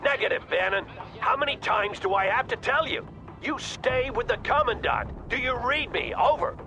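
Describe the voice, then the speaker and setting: An actor playing a gruff, exasperated colonel giving curt orders by radio in a war video game. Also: male voice, extremely high pitch, expressive, normal speed, over music. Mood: angry, urgent. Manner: curt, authoritative. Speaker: military commander. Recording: battle noise over the voice. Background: gunfire, artillery and vehicles.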